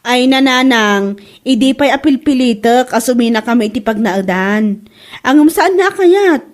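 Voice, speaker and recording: A woman acts a sharp-tongued, exasperated daughter-in-law in a comic audio drama. Her very high voice leaps up and down as she exclaims and complains with sarcasm. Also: lively intonation, a little slow. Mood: defensive. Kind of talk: comedy radio drama acting.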